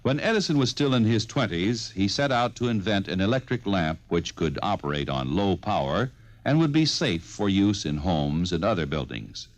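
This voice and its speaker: A man's voice: low-pitched, very animated, moderate pace. A man with an American accent giving formal, stately scripted narration in a 1950s radio style.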